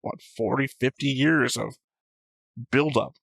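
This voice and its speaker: A man's voice: mid-range voice, takes it slowly, vocal fry, a bit bright, slightly rough, no echo. A man co-hosting a reaction show, chatting casually and wandering into joking tangents. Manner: rambling, joking, talks in tangents. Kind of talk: casual discussion and commentary.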